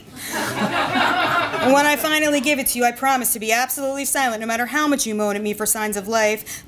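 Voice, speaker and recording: A woman giving a live comic reading of humorous personal essays at a bar, in a dry, deliberate delivery. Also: high voice, a little hiss or hum. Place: bar reading event before an audience.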